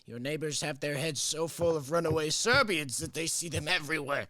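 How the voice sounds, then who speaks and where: A courteous young officer. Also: male voice, a little high, brisk, sharp tone, no room sound. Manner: courteous and formal. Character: polite young male army officer searching a house. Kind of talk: college radio play acting.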